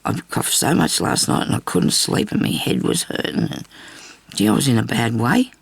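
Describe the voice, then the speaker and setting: Gravelly, husky older woman. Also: very deep voice, very animated, moderate pace, no echo. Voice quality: raspy. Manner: chatty, rambling asides while stitching. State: hoarse with a bad cough. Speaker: crafting channel host. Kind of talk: casual sewing tutorial chat. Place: home craft table. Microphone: overhead camera on her hands.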